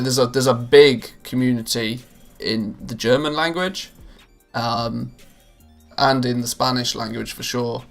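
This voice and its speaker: A man streaming live and chatting casually with his viewers, rambling with many restarts and fillers. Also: medium pitch.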